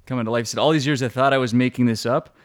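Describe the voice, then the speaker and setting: A man's voice: mid-range voice, fairly even intonation, racing, no room sound. Chatty man on a dry podcast. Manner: chatty, agreeing often. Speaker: podcast co-host. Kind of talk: casual movie recap chat.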